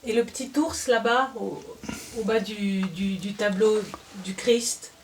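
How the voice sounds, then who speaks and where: A woman's voice: mid-range voice, slow pace. Woman interviewer, off-mic. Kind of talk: casual questioning. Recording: distant from microphone, faint background noise.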